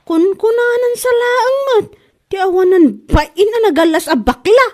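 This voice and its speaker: Woman acting a high-voiced, scolding Ilocano woman. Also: animated, measured pace, a little mellow. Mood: indignant, scolding. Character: quarrelsome, gullible woman accusing a bag seller of lying. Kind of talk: Ilocano comedy radio-style drama acting.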